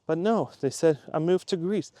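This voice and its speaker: A man from Switzerland talks straight to his viewers in a loose, rambling way, circling back to the same point again and again. His voice sits a bit higher than most men's. The sound is dry and close, with no room echo. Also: moderate pace, warm tone. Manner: chatty and rambling, repeats himself for emphasis. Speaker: YouTube creator. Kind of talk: casual talk to camera.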